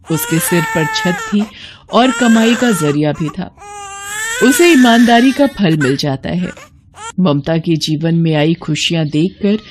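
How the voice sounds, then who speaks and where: A woman's voice: fairly low pitch, background noise. A woman reading scripted narration as storyteller of a children's moral tale.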